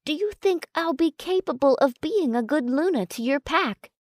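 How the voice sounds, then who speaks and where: A woman voicing a shy young woman in an audiobook, asking soft, hesitant questions. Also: high-pitched, animated, moderate pace, no echo, pitched above the performer's own voice. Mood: timid.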